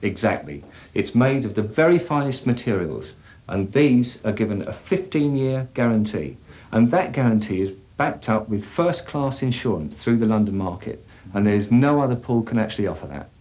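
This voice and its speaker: A man giving a measured, matter-of-fact explanation as a company representative in an instructional sales film. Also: on the low side, lively intonation, a little mellow, lightly acted.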